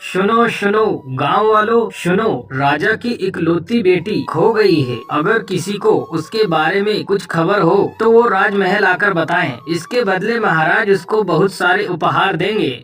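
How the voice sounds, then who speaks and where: A man's voice: high voice, measured pace, hardly any pauses, a bit bright. A man voicing a royal town crier in a Hindi cartoon, calling out to villagers in a declamatory way. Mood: proclaiming. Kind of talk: Hindi cartoon public proclamation. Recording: slightly distant, faint music behind.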